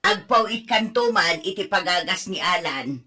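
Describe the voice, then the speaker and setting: A woman's voice: on the low side, expressive, normal speed, bright, forceful tone. A woman voicing a worried elderly mother speaking of her sick son in an audio drama. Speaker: mother-in-law of the husband's wife.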